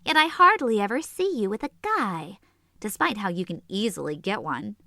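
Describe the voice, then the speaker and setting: A woman's voice: mid-range voice, very animated, moderate pace, sharp tone, no echo, clean studio sound. A woman playing a petite, short-tempered teenage sorceress in a comedic anime fan audio drama, sarcastic and teasing. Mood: sly, teasing.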